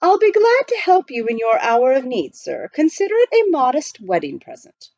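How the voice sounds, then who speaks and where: A proper, courteous butler , voiced by a woman storyteller, speaks with formal politeness. The pitch is high and rises and falls in big sweeps. It sounds dry and close. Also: wildly swooping pitch, moderate pace, a little mellow, no room sound, dull audio, exaggerated voice. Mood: polite. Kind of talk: children's storybook read aloud.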